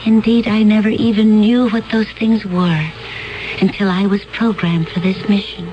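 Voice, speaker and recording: An actress playing a gentle, naive alien woman from a peaceful world in a 1970s radio drama. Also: mid-range voice, flat delivery, normal speed, lo-fi sound, background noise, close to an everyday voice. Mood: wistful. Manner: soft, wondering, naive.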